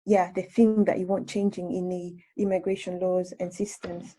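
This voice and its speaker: Woman radio interviewer, soft dark tone, pretty flat pitch, rewords questions as she goes. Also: normal speed, husky, low-quality recording. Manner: tentative, rephrases questions mid-sentence.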